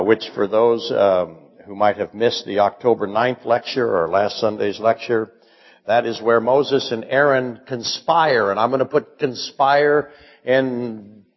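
A hoarse man who has nearly lost his voice, delivering a measured sermon lecture as pastor and posing rhetorical questions. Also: mid-range voice, long reverb tail, lo-fi sound. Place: church hall.

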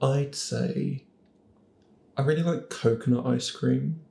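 Voice actor chatting, giggly and creaky, bit of room echo and faint noise. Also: medium pitch, steady tone, slow, warm tone. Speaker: voice actor, podcast cast member. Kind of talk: casual chat.

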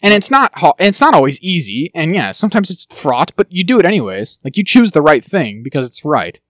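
Fast animated male podcast host. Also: fairly high pitch, no echo, clean studio sound. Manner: fast, animated, breaks into quick mock impressions. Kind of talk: casual chat about TV shows.

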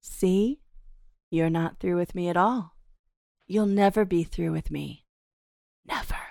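A woman's voice: on the low side, takes it slowly, vocal fry, darkish tone, no room sound, clean studio sound. A woman playing a scornful, taunting gold-digging wife in a theatrical reading of a 1930s radio melodrama, with slangy delivery. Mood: cold contempt. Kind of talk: 1930s radio horror melodrama table read.

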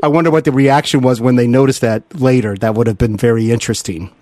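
A man's voice: medium pitch, steady tone, quick pace, darkish tone, no echo, clean studio sound. A man hosting an interview in an enthusiastic, chatty, admiring way. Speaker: interview host.

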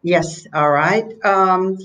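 An older woman in her seventies speaks with a Southern US accent. She talks reflectively and a little haltingly, telling personal anecdotes in a casual interview. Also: fairly low pitch, a bit bright. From North Carolina, USA. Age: 70s. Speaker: interview guest, painter.

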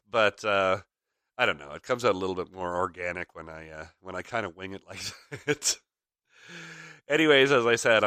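Deep, bright-toned man, slightly raspy. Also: dry recording, studio quality. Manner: rambling, frequent fillers, chuckles. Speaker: podcast host. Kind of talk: casual rambling chat.

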